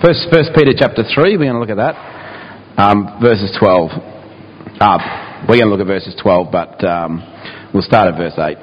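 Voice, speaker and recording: A man preaching a sermon to his church, his voice slightly croaky, talking in a relaxed way with frequent ums and restarts. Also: lowish voice, normal speed, slight background noise. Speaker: pastor. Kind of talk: sermon with scripture reading. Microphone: mic and speaker system in a hall. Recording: dull sound with long hall reverb.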